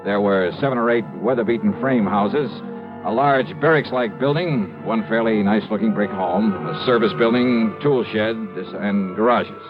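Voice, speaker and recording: A man's voice: fairly low pitch, normal speed, close to an everyday voice. An actor playing a dry, laconic male freelance insurance investigator in a 1950s radio drama, also narrating his case. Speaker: radio detective lead and narrator. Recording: dull old radio broadcast with music.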